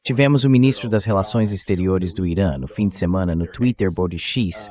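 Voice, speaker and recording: Synthetic guy voice, on the low side, dubbed host chatting through a live interview. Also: brisk, a little mellow. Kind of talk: translated voice-over of a live discussion.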